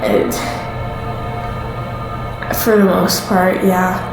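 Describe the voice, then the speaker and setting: Woman acting young female drama character, hesitant, uneasy, unhurried delivery, understated realism, roomy sound. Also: fairly low pitch, little pitch movement, vocal fry, heavily acted. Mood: hesitant, secretive. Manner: halting, hesitant, trailing off. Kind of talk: naturalistic fiction podcast acting. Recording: roomy echo, music underneath. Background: music.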